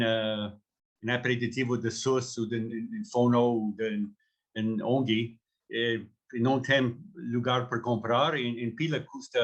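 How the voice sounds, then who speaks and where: A man from Canada, a native English speaker with a Canadian accent, talking informally as a company founder in halting, word-searching non-native speech. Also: medium pitch.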